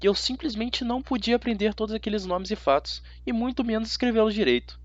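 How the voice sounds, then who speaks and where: A man with a Brazilian accent narrating a first-person story as an amateur audiobook reader, dramatic and expressive. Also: sits high, fast talker, no echo. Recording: slightly dull, dry audio.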